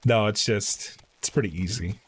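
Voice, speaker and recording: A man live-streaming a video game, chatting casually and reading quest text aloud in an informal, halting way. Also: fairly low pitch, breathy edge.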